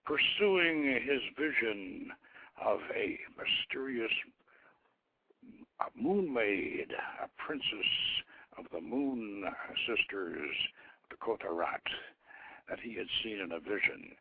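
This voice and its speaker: A man reading a story aloud as dramatic scripted narration, theatrical in delivery, swelling loud and sinking to hushed tones. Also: fairly low pitch, lively intonation, slow pace, slight background noise. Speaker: host reading his own novelette. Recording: narrow-band phone line, internet radio.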